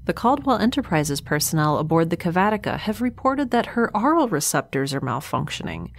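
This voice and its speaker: A woman playing a weary, wry scientist stranded in space, speaking a halting, deliberate and wordy log entry for an audio drama. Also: lowish voice, sing-song, creaky, exaggerated voice.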